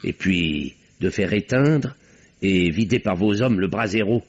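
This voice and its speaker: An actor playing an earnest middle-aged doctor turned sleuth who talks in an urgent, insistent and sometimes flustered way, in an old radio play. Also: male voice, sits low, animated, measured pace, breathy edge, full character voice. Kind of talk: 1950s radio drama acting. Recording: dull old radio recording.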